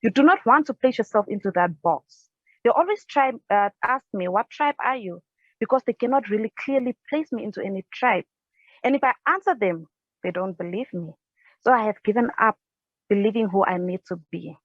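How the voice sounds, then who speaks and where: A young woman from South Africa reciting her own spoken word poem at home on a couch, earnest and halting, with restarts, into an earphone mic. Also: medium pitch, expressive, no room sound. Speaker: poet. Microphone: earphone headset mic. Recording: dry, dull lo-fi sound.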